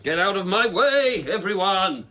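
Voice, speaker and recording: A man playing a harsh, miserly old moneylender with a raspy, barking voice in an amateur radio play. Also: high voice, expressive, measured pace, sharp tone, a little hiss or hum, voice pushed up, exaggerated voice. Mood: gruff. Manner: gruff, bellowing orders.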